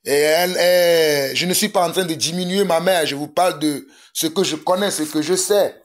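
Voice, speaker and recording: A man's voice: on the high side, normal speed. A man from Togo, preaching a fervent sermon that mixes prayer with urgent exhortation and repetition. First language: a Togolese language.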